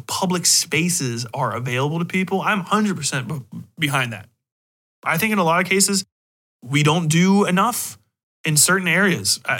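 A man's voice: mid-range voice, pushed and bright. A man hosting a podcast, talking casually and emphatically with blunt opinions and frequent swearing. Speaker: podcast host.

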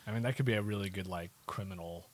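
A man's voice: low-pitched, fast talker, dry recording. A man co-hosting a podcast in casual chat about films and games, questioning and debating points. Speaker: podcast co-host.

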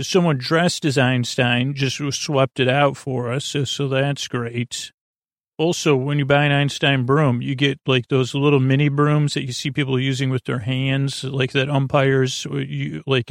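Creaky-voiced man rambling gently, close and dry. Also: mid-range voice, level tone, unhurried, no echo. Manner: meandering, hesitant, lulling, self-deprecating. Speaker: podcast host. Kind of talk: rambling, tangent-filled sleep podcast monologue.

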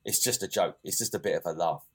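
Young guy, low voice, easygoing podcast co-host, loose rambling delivery. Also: fast, vocal fry, darkish tone, breathy edge. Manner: rambling, many fillers and restarts. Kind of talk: casual chat.

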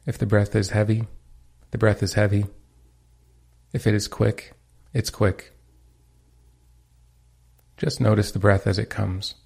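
A man leading a guided meditation, giving calm, gentle instructions with pauses. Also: fairly low pitch, creaky, breathy edge.

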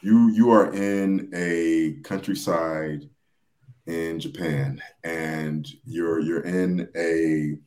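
A man explaining things in a casual podcast discussion, hesitant and halting, often repeating his phrases. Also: sits low, fairly even intonation, darkish tone, reverberant.

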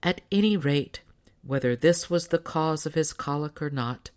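A woman's voice: low voice, moderate pace, darkish tone. A woman narrating a storybook as a scripted audiobook reading.

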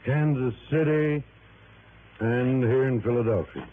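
An actor playing a cooperative, talkative male assistant warden in a vintage radio drama, earnest and deliberate. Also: mid-range voice, close to an everyday voice. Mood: helpful, careful. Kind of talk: 1950s-60s radio drama acting. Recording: noisy, tinny vintage radio recording.

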